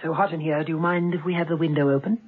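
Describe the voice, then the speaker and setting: A woman's voice: sits low, fairly even intonation, rapid-fire, husky. An actress playing a poised, imperious society lady with refined speech in a 1970s radio drama. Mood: gracious. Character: poised female society head. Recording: dull old radio recording.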